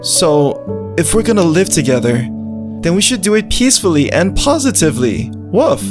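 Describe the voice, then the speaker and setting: A performer voicing an earnest, childlike, food-loving male dog who narrates a visual novel in an animated, naive way. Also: mid-range voice, normal speed, music in the background, lightly acted. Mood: earnest, hopeful. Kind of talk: first-person visual novel narration with character dialogue.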